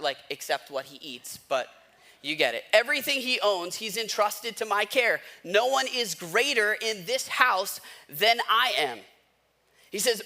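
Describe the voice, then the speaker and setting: A man's voice: sits high, sharp tone. An American man preaching as a pastor in a conversational, emphatic way, repeating phrases and asking rhetorical questions.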